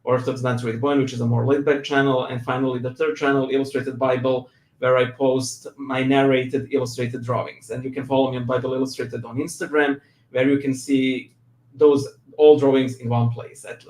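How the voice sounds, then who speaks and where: A man's voice: medium pitch, little pitch movement, fairly quick. Serbian man, laughing, echoey room. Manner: laughs often, self-deprecating humor, hesitant fillers. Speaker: guest, church reader and YouTube creator. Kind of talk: casual interview.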